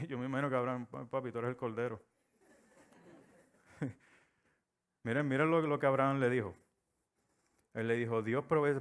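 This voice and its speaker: A middle-aged man from Puerto Rico with a Puerto Rican Spanish accent, preaching a sermon. His voice sits at an ordinary middle pitch. He tells stories and acts out the voices he quotes. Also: clear, resonant tone.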